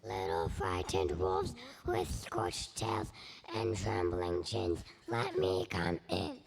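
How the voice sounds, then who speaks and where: Big bad pig for storybook theatre: acted extremely low, gravelly villain, menacing, drawn-out delivery. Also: full character voice. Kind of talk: children's reader's theatre stage performance.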